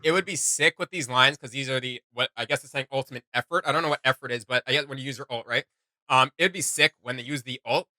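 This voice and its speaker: A man's voice: medium pitch, fast, bright, forceful tone, dry recording. A man hosting a reaction video, enthusiastic and slangy, thinking aloud in casual commentary.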